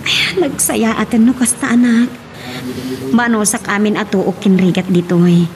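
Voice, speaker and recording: A woman's voice: medium pitch, fairly even intonation, moderate pace, crowd noise, close to an everyday voice. A woman playing a hardworking, homesick mother working abroad in a radio drama, speaking with an Ilocano accent. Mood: tender.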